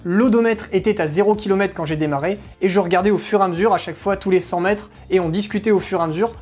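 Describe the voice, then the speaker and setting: A woman's voice: mid-range voice, fast, a little mellow, slightly rough, faint music behind. A woman narrating a product review in an informal, chatty, explanatory way. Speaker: motorcycle gear reviewer.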